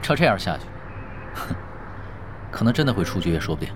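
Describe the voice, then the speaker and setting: A man playing a seasoned, easygoing esports captain in an audio drama, dry and unhurried as he explains things. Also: sits low, sing-song, over music. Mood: sober, dry. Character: laid-back, wry, seasoned male esports team leader.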